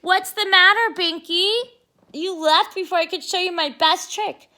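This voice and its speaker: A high, bright schoolboy acted out by a woman reading aloud, the worried hero of a children's storybook who can turn sly. Every line is expressive and a little exaggerated. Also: unhurried, heavily acted. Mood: sly, confident.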